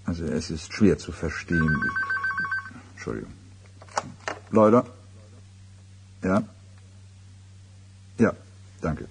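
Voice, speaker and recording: An actor playing a reserved, sceptical professional man in a German radio play, speaking in a measured, hesitant way with a deep, husky voice. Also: lively intonation, slight background noise, full character voice. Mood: sober, pensive.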